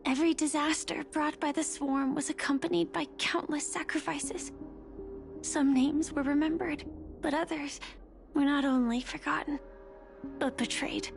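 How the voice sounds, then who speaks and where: An earnest, wistful young woman speaks in a high voice with a creaky edge. Her delivery is measured game drama, with music clearly behind it. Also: fairly even intonation. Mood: somber. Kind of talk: dramatic video game voice acting.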